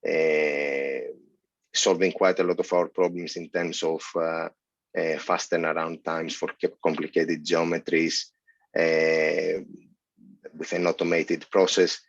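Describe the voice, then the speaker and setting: Greek-born guy on a headset call, very low flat voice, lots of uhs, dull dry sound. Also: gravelly, dry recording. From Greece. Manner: measured, halting with many fillers. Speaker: guest, senior lecturer. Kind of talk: video call interview. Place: office, indoors. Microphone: headset on a video call.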